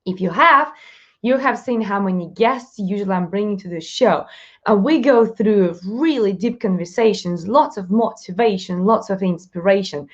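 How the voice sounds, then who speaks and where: Upbeat Russian-accented woman host, a bit muffled. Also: mid-range voice. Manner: chatty, upbeat, fast-talking, laughs often. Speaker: live show host, mindset coach. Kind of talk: live-stream Q&A.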